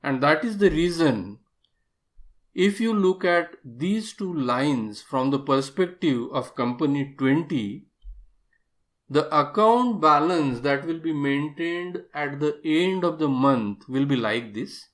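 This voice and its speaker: Male instructor, somewhat high-pitched, methodical explanatory tutorial read with emphasis on key terms. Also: lively intonation, slow pace, a bit bright. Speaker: accounting software instructor.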